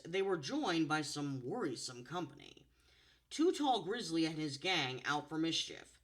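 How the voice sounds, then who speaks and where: A woman with a low contralto voice reads a children's story aloud with playful expression. Her delivery is animated and bright, with a lot of movement in pitch. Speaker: voice actor reading storybooks. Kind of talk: storybook narration and chat.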